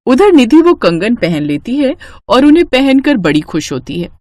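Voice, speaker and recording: A woman's voice: a little high, sing-song, moderate pace, darkish tone, no room sound. A woman narrating a scripted moral story for children as its storyteller.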